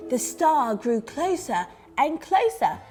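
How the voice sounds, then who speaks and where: A woman reading a scripted story to young children with expressive, dramatised delivery. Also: high voice, slow pace. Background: music under the reading.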